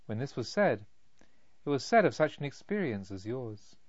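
A man acts out a wise spiritual master from a storybook. He is calm and detached, offering measured sayings in a serene manner. His pitch sits in the middle, and the sound is a bit dull. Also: no room sound.

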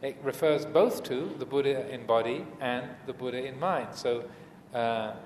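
A man gives a reflective dharma talk in a big, reverberant room, with some noise behind him. He speaks in measured short phrases and pauses often as he teaches. The echo of the hall trails his words. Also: medium pitch, a little mellow. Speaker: Buddhist monk teacher giving a dharma talk.

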